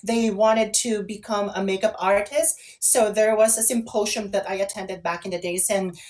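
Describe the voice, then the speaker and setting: A woman's voice: medium pitch, fairly even intonation, normal speed, a little mellow. A woman co-hosting a casual online chat show, asking questions in an inquisitive, emphatic way. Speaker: online radio show co-host.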